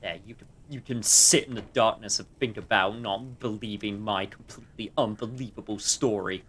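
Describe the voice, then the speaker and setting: A man's voice: fairly low pitch, moderate pace, fairly bright tone, a little hiss or hum. A man giving casual, wry commentary as he livestreams a game.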